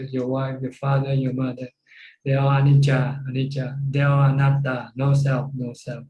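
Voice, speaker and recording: A male Buddhist teacher with a Thai accent speaks in a very mellow, even voice with little rise and fall. He teaches informally, repeating and restarting phrases. The call has a strongly echoing, roomy sound. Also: medium pitch, dull audio. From Thailand. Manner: repetitive, halting phrasing. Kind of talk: informal Dhamma teaching with questions. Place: Zoom call.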